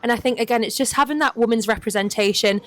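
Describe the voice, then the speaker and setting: A woman from Newcastle with a mixed Geordie and southern English accent, a podcast guest talking in a quick, earnest, upbeat way. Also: a little high, fairly bright tone. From Newcastle, England. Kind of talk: casual chat.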